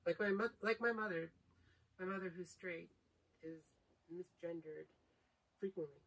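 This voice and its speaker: A woman's voice: fairly low pitch, unhurried, a bit bright. A younger woman thinking aloud in a casual chat with her partner, analytical and reflective, searching for her words. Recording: distant mic.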